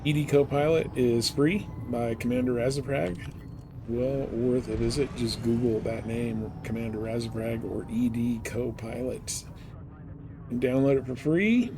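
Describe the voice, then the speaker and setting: A man's voice: medium pitch, fairly even intonation, unhurried. A man giving casual live gameplay commentary with a dry, wry manner. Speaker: game live streamer. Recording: noisy game stream.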